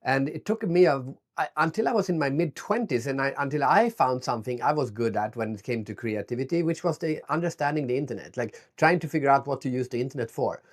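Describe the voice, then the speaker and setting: A man's voice: medium pitch, animated, fast talker, few pauses. A man from Sweden, a guest author, answering interview questions in an enthusiastic, reflective way over a video call. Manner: reflective, enthusiastic, with some restarts.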